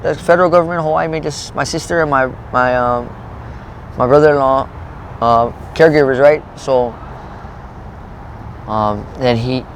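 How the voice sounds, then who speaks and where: A man in his late thirties talks quickly, rambling through his story, swearing and breaking off mid-thought. He is recorded outdoors in a parking lot, with faint traffic noise behind him. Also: medium pitch. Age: 30s. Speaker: interviewee. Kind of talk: street interview, rambling personal story.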